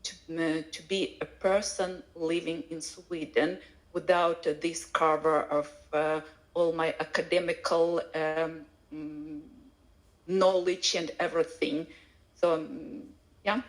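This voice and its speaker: A woman speaking non-native English with a foreign accent, a language teacher giving a personal account haltingly, searching for words. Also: low-pitched, level tone, low-quality recording. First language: not English. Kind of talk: personal account at a live online event.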